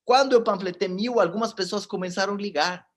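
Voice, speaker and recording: A man's voice: high voice, a little fast, a bit bright. A man in his forties, not a native speaker of the language, gives a motivational talk. He tells his story with animation and speaks directly to his audience. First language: not Portuguese.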